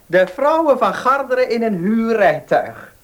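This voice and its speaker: Casting: cocksure young rascal in radio drama, teasing, highly animated delivery. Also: male voice, unhurried, heavily acted. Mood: mocking, teasing. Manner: teasing, cocky. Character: cheeky, cocksure young nephew, teasing his rich aunt. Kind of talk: Dutch radio play acting.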